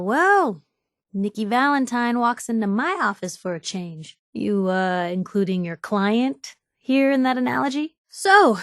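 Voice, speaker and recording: Game companion role: actress as feisty young female reporter, American accent, mid-range pitch, wry conversational asides, very dry studio sound. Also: dry recording, close to an everyday voice. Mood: wry, friendly. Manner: sardonic, conversational, quick-witted asides. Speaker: video game voice actor. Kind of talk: video game companion dialogue.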